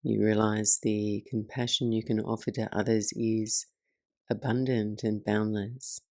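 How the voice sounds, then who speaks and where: A woman guides a meditation in a soothing, very slow voice with plenty of creak at the edges. Her delivery is measured and even, leaving soft pauses between phrases, and the recording is close and dry. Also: extremely low pitch, no echo. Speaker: meditation teacher and host.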